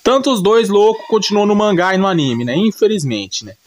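A man's voice: high voice, very animated, fairly quick, a little hiss or hum. A man with a Brazilian accent casually retelling an anime story off the cuff, rambling and slangy, often correcting himself. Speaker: YouTube anime recapper.

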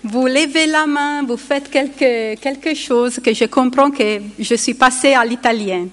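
A woman's voice: sits high, measured pace. A woman in her forties, a palliative care doctor with an Italian accent, giving a conference talk at a podium microphone, hesitating as she searches for words in her second language. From Italy. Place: large conference hall. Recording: long hall reverb.

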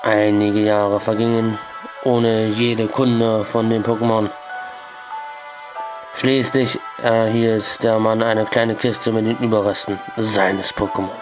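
Young male let's play host, under the weather with a slightly strained voice, talks slowly and fills pauses often; headset mic in a small bedroom. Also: fairly low pitch, level tone, over music, rough recording. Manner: hesitant, many filler "ja"s, slow and deliberate. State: has a cold, voice a bit strained. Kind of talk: let's play commentary, reading game text aloud.

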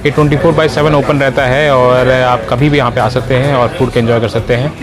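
A man in his thirties talks quickly and chattily to his viewers, walking a handheld phone camera through a parking lot and into a restaurant. His voice sits at an ordinary middle pitch. Clear background music plays under everything he says. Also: little pitch movement. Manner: chatty, addresses viewers as friends, explains prices. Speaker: travel vlogger. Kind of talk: casual walking tour vlog. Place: outdoor parking lot and airplane restaurant interior. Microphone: handheld phone camera, selfie framing.

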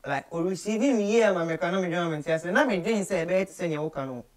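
A young Ghanaian man with a slightly high voice and a Ghanaian accent speaks as an interview guest. His talk is casual and slips between Twi and English. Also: fast talker. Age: 20s. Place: small studio office, indoors.

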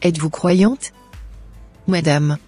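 Man acting as young cartoon woman, earnest, measured, husky, slightly high, music underneath. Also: a little mellow. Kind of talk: scripted cartoon dialogue.

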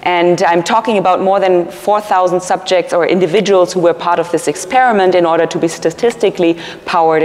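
A woman's voice: lowish voice, darkish tone. Woman giving a keynote in a reverberant hall. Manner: earnest, explanatory, with fillers and restarts. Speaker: keynote speaker, researcher. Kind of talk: conference keynote lecture. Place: large conference hall, at a podium. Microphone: podium mic, filmed from a far camera.

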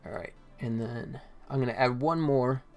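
A man's voice: mid-range voice, normal speed. A man in his forties giving casual live-stream commentary as he works at game development. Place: small indoor room at a computer. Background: faint music, keyboard typing.

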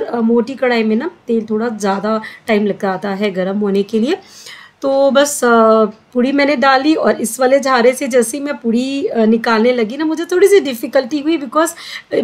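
A middle-aged woman talking through a home recipe step by step in a chatty, casual way, with frequent filler sounds. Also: fairly high pitch. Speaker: cooking vlogger. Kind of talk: home cooking voice-over. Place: home kitchen.

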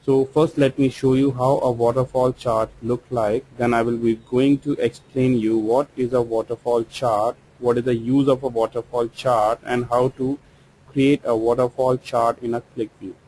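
A man with an Indian English accent, walking through a software tutorial step by step as a trainer, explaining slowly with occasional fillers. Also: medium pitch, flat delivery, a little hiss or hum, poor audio. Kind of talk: screen-recorded software tutorial. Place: voice-over for screen recording.

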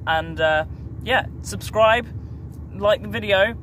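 A man's voice: high-pitched, takes it slowly, a bit bright, slight background noise. A man in his twenties with an English accent, chatting casually and informally to camera as a vlogger, recorded on a phone held at arm's length. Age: 20s. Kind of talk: casual vlog chat to camera.

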